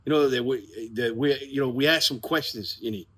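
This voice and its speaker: A man's voice: mid-range voice, a little fast, a bit bright. Male co-host and playwright, mid-range voice, earnest, conversational, unpolished. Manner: informal, earnest. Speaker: playwright and co-host promoting a play. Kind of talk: casual chat. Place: outdoors in the evening.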